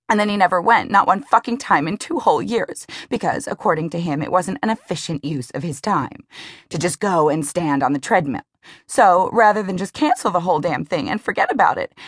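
A woman's voice: slightly rough, no echo, studio quality. A woman voicing a petite, heartbroken young woman who rants and swears about her cheating boyfriend. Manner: high-pitched, swearing, bitter. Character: distraught young woman, petite, foul-mouthed, furious.

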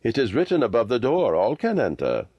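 A man's voice: mid-range voice, wildly swooping pitch, fairly quick, a little mellow, slightly rough, dry recording, dull audio. A man voicing a cheeky, confident Indian street boy in a storybook reading. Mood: breezy.

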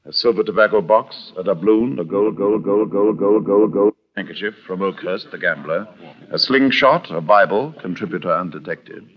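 A man narrating a frontier tale as a radio-drama storyteller, measured, theatrical and precise in his wording. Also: on the low side, husky. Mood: measured, dramatic. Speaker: narrator and character actor. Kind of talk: dramatic scripted storytelling, old-time radio. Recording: dull vintage radio recording.

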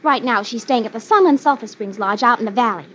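A woman's voice: fairly high pitch, fast, a bit bright, slight background noise, natural voice. An actress playing a determined young woman pressing a detective for help, urgent and insistent, in a 1940s radio drama. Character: determined young woman seeking justice for her father. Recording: dull old radio broadcast with music.

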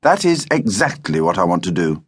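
A man voicing a calm, open-minded mother in a storybook, reasonable and gently persuasive. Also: low voice, a little fast, husky, heavily acted. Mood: coaxing.

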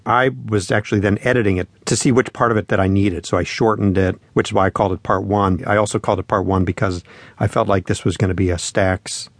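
A man's voice: on the low side, fairly even intonation, fast, no echo. A man talking in a reflective, conversational storytelling way as a musician being interviewed, acting out quotes as he goes. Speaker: musician and spoken-word performer being interviewed.

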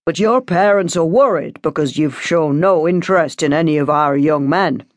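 A woman voicing a paternal male parish priest in an audiobook, measured, coaxing and moralising. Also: low-pitched, no echo, clean studio sound, close to an everyday voice. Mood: admonishing.

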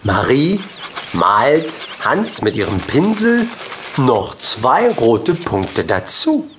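Very animated, slow male storyteller. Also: medium pitch, noisy background. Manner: expressive storytelling in short measured phrases. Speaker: audiobook narrator. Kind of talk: scripted children's story narration.

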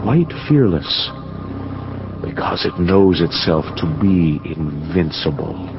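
A man's voice: low-pitched, animated, slow pace, gravelly, full character voice. An actor playing a privileged young dreamer who tells his story in intense, ornate radio drama narration. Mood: brooding, yearning. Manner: ornate, intense, poetic delivery. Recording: dull lo-fi audio under loud music.

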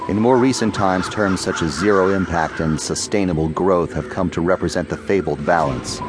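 An actor playing a philosophical, sardonic young fighter pilot in an audio drama, measured and dry. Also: male voice, sits low, close to an everyday voice. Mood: brooding, grave. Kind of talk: audio drama narration and dialogue. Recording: music behind the voice.

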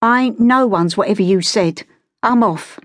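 Narrator voicing a high-pitched, defiant beggar girl. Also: normal speed, no room sound, clean studio sound, lightly acted. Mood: angry, defiant. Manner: streetwise, uneducated speech, guarded and cheeky. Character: poor, wary, defiant sixteen-year-old London beggar girl.

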